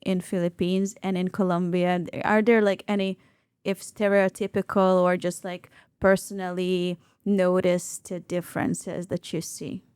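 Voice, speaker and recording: A woman's voice: fairly low pitch, little pitch movement, unhurried, darkish tone, clear, resonant tone, no echo. A woman hosting a casual interview, supportive, with short encouraging prompts. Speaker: interviewer, host.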